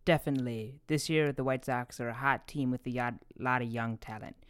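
A man's voice: medium pitch, steady tone, brisk, a bit bright, clear, resonant tone. A man co-hosting a podcast, asking questions and recapping in a casual chatty style. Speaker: podcast co-host. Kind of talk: casual interview and recap chat.

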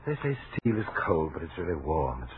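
An actor in a 1940s radio drama playing a courteous, lonely and menacing gentleman suitor who speaks with hesitant, insinuating formality. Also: a bass voice, very animated, a little fast, very noisy. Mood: quietly menacing. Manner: soft-spoken formal politeness, hesitant, insinuating. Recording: thin, phone-like 1940s radio recording.

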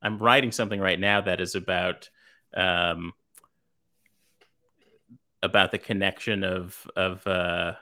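A man from Los Angeles, a writer, answering interview questions in a monotone, hesitant way with many fillers. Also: sits low, measured pace, long pauses. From Los Angeles, USA. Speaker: writer, podcast guest.

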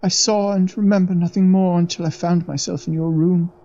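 A man's voice: sits high, fairly even intonation, brisk, mellow, pitched above the performer's own voice. A man as a shaken young man recounting a ghost. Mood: haunted. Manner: earnest, solemn, dramatic. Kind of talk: audiobook reading. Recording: dull lo-fi dry recording.

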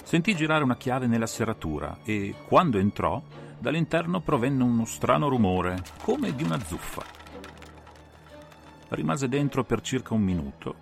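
A man's voice: mid-range voice, gravelly. A man reading dramatic first-person mystery narration as an audiobook narrator. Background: atmospheric music.

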